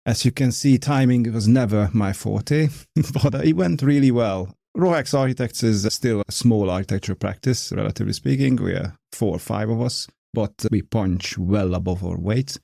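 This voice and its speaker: A man with a Hungarian accent speaks in a low, gravelly voice. He explains his work in a measured, unhurried way. The sound is dry and close. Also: warm tone, dry recording. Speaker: architect, podcast guest. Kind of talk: casual conversation.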